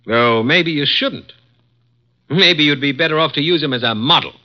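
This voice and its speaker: An actor playing a cold, petty, domineering executive with a curt, clipped manner in 1950s radio drama. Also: male voice, on the high side, moderate pace, close to an everyday voice. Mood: imperious. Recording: dull lo-fi 1950s radio broadcast.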